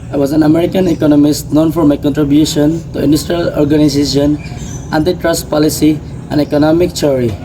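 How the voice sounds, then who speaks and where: A man's voice: a little high, fairly even intonation, fast, warm tone, poor audio. A young man reading out a scripted first-person biography as a student presentation, in a recited manner. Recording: background noise, ambient room sound.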